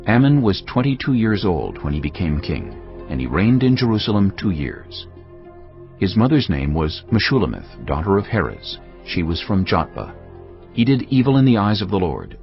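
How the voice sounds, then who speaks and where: Man, deep voice, lively intonation, solemn scripted narration, slightly dull recording. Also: creaky. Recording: slightly dull audio with music bed.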